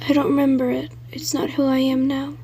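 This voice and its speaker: A woman voicing the whispering ghost of a dead paper boy, speaking in a hushed, urgent whisper over a phone. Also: high voice, monotone, brisk, a little hiss or hum.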